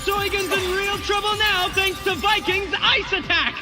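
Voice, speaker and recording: A man playing an excitable tournament announcer in an anime dub, giving bombastic play-by-play commentary. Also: extremely high pitch, measured pace, over loud music. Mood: excited, urgent. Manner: bombastic, shouted delivery. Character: excitable male tournament announcer calling a wild match. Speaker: match announcer.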